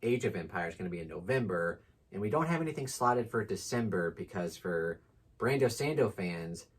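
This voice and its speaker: A man with a low-pitched voice and a husky edge talks casually as a book channel co-host. His delivery is informal and enthusiastic, with slangy everyday phrasing. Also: expressive, fairly quick.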